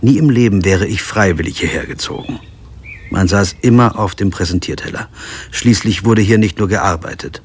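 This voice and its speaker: A man's voice: deep voice, normal speed, a little hiss or hum, lightly acted. An actor playing a sober, observant lawyer who narrates his own story in a radio crime drama. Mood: sober, reflective. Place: studio.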